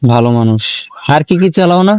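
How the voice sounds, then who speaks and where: A man's voice: mid-range voice, animated, a little fast, darkish tone, smooth, no room sound, clean studio sound. A man with a Bangladeshi accent chatting casually as a prank call host, teasing and pressing with questions. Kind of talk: casual teasing phone chat in colloquial Bengali.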